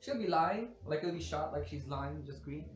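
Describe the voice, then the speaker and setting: A man's voice: a little high, lively intonation, normal speed. A man talking casually, offering ideas in a group conversation. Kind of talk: casual chat. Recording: echoey room, clear background noise.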